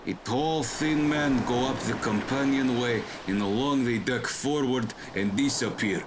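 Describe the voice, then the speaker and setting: An actor playing a superstitious foreign ship's mate, haunted and intense, in halting broken English in a melodramatic radio play. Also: male voice, medium pitch, noisy background, close to an everyday voice. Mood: fearful, urgent. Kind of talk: melodramatic radio drama acting.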